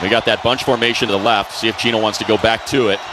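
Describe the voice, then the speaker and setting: Low-voiced male football play-by-play announcer, noisy. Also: little pitch movement, fast talker, crowd noise. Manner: clipped phrases narrating each play as it happens. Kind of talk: live radio sports commentary. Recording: noisy live stadium broadcast.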